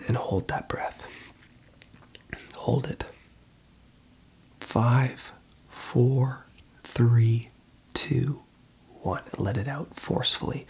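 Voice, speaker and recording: An ASMR host whispering in a breathy voice, giving reassuring, unhurried advice as a call centre phone counsellor. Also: mid-range voice.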